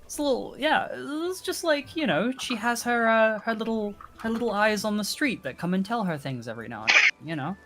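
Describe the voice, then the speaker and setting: A man's voice: high-pitched, lively intonation, a little fast, few pauses, background noise. A man chatting casually as a tabletop game player, hesitantly piecing ideas together aloud. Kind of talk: casual chat. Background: faint music.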